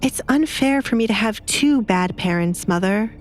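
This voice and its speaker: A woman's voice: mid-range voice, lively intonation, normal speed, soft background music, pitched above the performer's own voice, lightly acted. A woman voicing a bitter, defiant young noblewoman in an audio drama, blunt and sarcastic, with light background noise. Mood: bitter, yearning.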